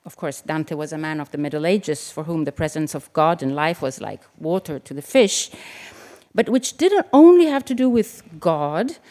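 An Italian woman, a novelist, reading her essay aloud at a live event in a measured, reflective way, with the odd aside. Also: low voice, lively intonation, mellow. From Italy. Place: event venue with an audience.

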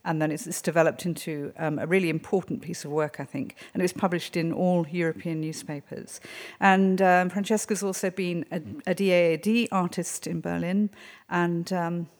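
A woman's voice: lowish voice, steady tone, moderate pace, darkish tone, big-room sound. A woman hosting a literary event, introducing the guest in an effusive way with many ums and restarts. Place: event venue with an audience.

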